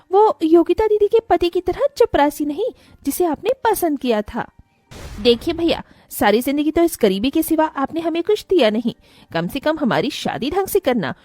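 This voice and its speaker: A woman's voice: sits high, a little mellow, dry recording. A woman voicing a haughty, snobbish young sister in a cartoon moral story, speaking in a scornful, scolding way. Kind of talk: animated moral-story acting.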